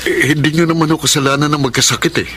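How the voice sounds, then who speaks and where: A man's voice: fairly high pitch, fairly even intonation, very fast, bright, forceful tone. An actor playing a polite, dutiful young hitman in a radio drama, speaking in an earnest, respectful way. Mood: caring, bitter. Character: dutiful, polite young male hitman supporting sick mother. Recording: very noisy radio drama track.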